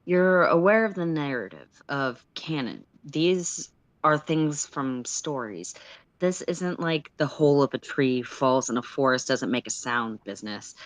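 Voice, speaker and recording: Woman acting low-voiced exasperated sylph philosopher. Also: lively intonation, measured pace, no room sound. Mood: measured explaining. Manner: argumentative, lecturing, sarcastic. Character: exasperated, sweary sylph philosopher in robes and ruffled skirts. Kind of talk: amateur audio fiction read aloud live.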